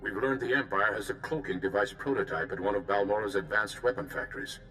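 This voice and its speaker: An actor voicing a calm, principled Jedi mission leader in a video game, with a formal, courteous manner. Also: male voice, lowish voice, fast talker, faint music behind, poor audio. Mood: measured, serious.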